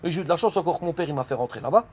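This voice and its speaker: A man of forty from France, chatting in slangy, animated bursts about cross-border work. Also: on the high side, very fast, husky. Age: 40s. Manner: animated, slangy, talks over others. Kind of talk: casual chat.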